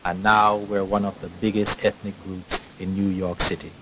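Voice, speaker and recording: A man from the Caribbean giving steady, measured scripted narration for a documentary. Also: deep voice, noisy background. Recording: narration over loud music. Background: music.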